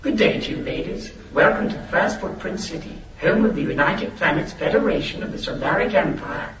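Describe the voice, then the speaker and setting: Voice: mid-range voice, animated, fairly quick, lightly acted. A performer playing a polite automated tour guide in a science fiction radio drama, with formal, repetitive, scripted courtesy. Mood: bland, courteous. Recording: dull, noisy radio drama recording.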